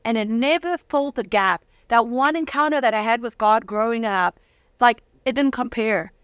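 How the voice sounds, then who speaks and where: Woman guest telling her life story, high smooth voice, candid n dramatic, bit of slangy humor, dry sound. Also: moderate pace, fairly bright tone, no echo. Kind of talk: animated personal testimony.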